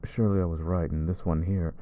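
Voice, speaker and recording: A man's voice: sits low, fairly even intonation, fast talker, muffled recording, close to an everyday voice. A man voicing a brooding vampire hunter. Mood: troubled. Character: brooding male vampire who hunts vampires. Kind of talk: ASMR roleplay audio.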